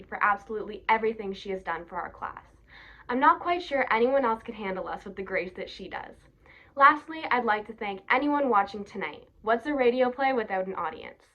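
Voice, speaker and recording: Young woman, student director, prepared welcome speech, fairly high pitch, slightly muffled home recording. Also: fairly bright tone. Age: young adult. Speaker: student director introducing a play. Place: small plain indoor room.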